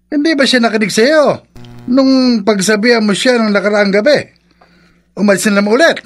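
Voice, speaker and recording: A man's voice: high-pitched, moderate pace, natural voice. A man playing a stern, worried elderly father-in-law in a radio drama, with an insistent, scolding manner. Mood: concerned. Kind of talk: Filipino horror radio drama acting.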